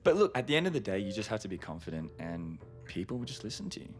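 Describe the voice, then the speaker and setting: A carefree male traveller in a naturalistic podcast drama. His delivery is lively and swooping, casual and confident with an easy boastfulness, over faint music. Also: fairly low pitch, fast. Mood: cocky, amused. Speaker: supporting actor in a fiction podcast. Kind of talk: naturalistic audio drama dialogue.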